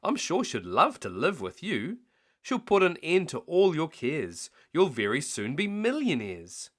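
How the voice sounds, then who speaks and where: A man's voice: on the high side, wildly swooping pitch, measured pace, voice pushed up, full character voice. A man voicing a downy female duck from a storybook, pleading and sweetly persuasive. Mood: coaxing. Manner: pleading, coaxing. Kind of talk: storybook character voice.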